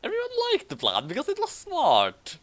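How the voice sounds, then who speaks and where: Someone reading fanfic out loud, really animated pitch, chatty asides, redoes flubbed lines. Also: moderate pace. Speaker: fan fiction reader and commentator. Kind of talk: comedic read-aloud with casual commentary.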